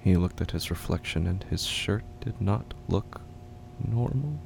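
Low crackly guy narrating horror, slow and hushed, noisy ambience behind him. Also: expressive. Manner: hushed, halting, often drops to whispers. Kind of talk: scripted horror audio drama narration. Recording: clear background noise and ambience.

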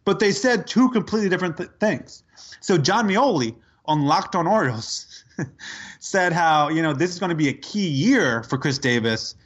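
A man co-hosting a podcast, telling a sports story in casual chat, incredulous and quick to laugh. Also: fairly high pitch, animated, highs cut off. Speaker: podcast co-host.

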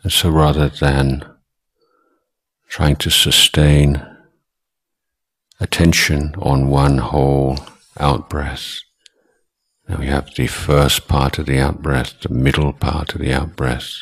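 A man with an extremely low, husky voice leads a guided meditation. He speaks very slowly and deliberately, setting down short phrases with long pauses between them. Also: a bit bright. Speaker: Buddhist monk and meditation teacher.

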